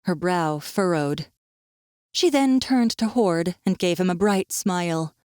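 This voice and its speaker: A woman's voice: lowish voice, slow pace, a little mellow, dry recording, studio quality. A woman reading scripted narration of a fantasy story as an audiobook narrator.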